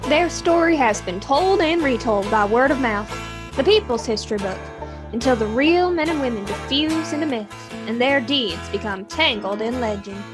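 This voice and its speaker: A woman playing a solemn radio drama storyteller, with a measured, theatrical delivery and music behind her. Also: a little high, lively intonation, natural voice. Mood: solemn, dramatic. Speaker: narrator.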